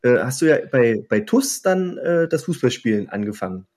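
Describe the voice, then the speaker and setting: Husky-voiced male interviewer. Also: mid-range voice, big pitch swings, normal speed, darkish tone. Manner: friendly, encouraging with short backchannels. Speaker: interviewer, host. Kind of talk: casual conversational interview.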